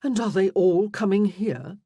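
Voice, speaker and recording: A narrator as a teasing, animated young gentleman. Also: medium pitch, a little slow, breathy edge, dry recording, pitched above the performer's own voice. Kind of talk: period romance audiobook dialogue.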